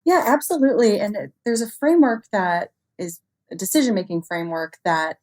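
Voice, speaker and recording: A woman's voice: medium pitch, fairly quick, darkish tone. A woman speaking as an expert interview guest, explaining things conversationally with everyday examples. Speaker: interview guest, expert on human error in cybersecurity.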